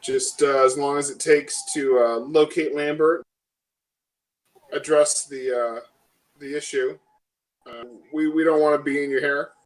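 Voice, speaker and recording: A man playing a professional, diplomatic female marshal in a tabletop game, speaking formally and courteously with halting phrasing. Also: on the high side, level tone, natural voice, rough recording. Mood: formal, apologetic.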